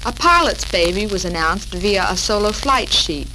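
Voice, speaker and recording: A woman's voice: medium pitch, lively intonation, normal speed. A young American woman hosting a scripted 1940s women's radio programme, chatty and witty with carefully phrased delivery. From United States. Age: young adult. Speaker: radio host. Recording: noisy vintage 1940s radio recording.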